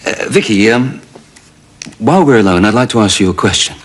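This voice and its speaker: A man's voice: lowish voice, expressive, fairly quick. A man in a sitcom role who talks hesitantly, stumbling and stammering over his words. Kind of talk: sitcom acting.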